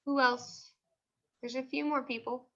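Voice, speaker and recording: A woman teaching a class, asking questions and repeating questions and answers slowly for her pupils. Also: high voice, fairly even intonation, pushed and bright, highs cut off. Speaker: teacher leading a class read-aloud.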